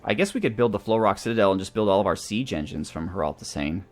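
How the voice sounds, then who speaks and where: A man's voice: fairly low pitch, lively intonation, quick pace, a little mellow. A man hosting a video game playthrough, talking casually and thinking aloud as he weighs his options. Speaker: host of a gaming series. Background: background music.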